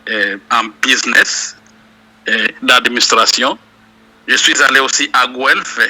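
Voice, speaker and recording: A man from South Kivu, a university lecturer answering interview questions slowly and hesitantly, with many pauses and fillers. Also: mid-range voice, pushed and bright, breathy edge, rough recording. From DR Congo, South Kivu.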